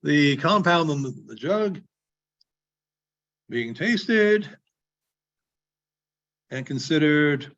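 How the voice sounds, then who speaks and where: A man reading a classic story aloud in a theatrical, deliberate manner with dramatic pauses. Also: on the high side, animated, fairly bright tone. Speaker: librarian giving a public reading. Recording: dull, muffled lo-fi recording.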